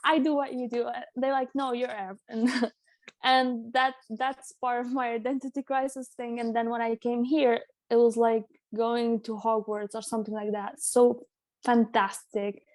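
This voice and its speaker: A woman's voice: a little high, flat delivery, no echo. A young woman from Venezuela talking casually as an interview guest, laughing often and restarting her sentences. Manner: frequent laughter, halting restarts.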